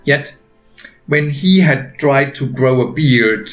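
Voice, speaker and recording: A man reading his own story aloud as a narrator, gently wry and unhurried, with deliberate pauses. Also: medium pitch, lively intonation, reverberant, soft background music, low-quality recording.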